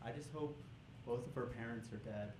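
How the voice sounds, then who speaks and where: A young man works a comedy club stage with a handheld mic. He riles up the crowd, then slides into low, deadpan storytelling. The sound rings around a roomy space with steady audience noise underneath. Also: moderate pace. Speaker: stand-up comedian. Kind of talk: stand-up comedy set.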